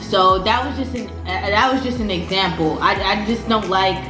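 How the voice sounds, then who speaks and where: A woman's voice: mid-range voice, moderate pace, roomy sound, over music. A woman, chatting casually in a story-time vlog, slangy and repeating her phrases. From Brooklyn, New York City. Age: 30s. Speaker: vlogger.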